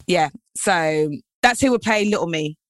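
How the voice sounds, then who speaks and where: A British woman chatting casually as a co-host, telling stories in an animated, informal way. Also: lowish voice, unhurried, dry recording. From England, early childhood in Nigeria. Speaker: podcast co-host. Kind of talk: casual chat.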